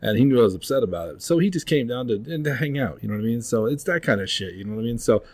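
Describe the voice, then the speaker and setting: Fast-talking husky low-voiced host. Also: male voice, animated, darkish tone. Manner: rapid, rambling, fills with "you know what I mean". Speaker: podcast host. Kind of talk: casual podcast chat.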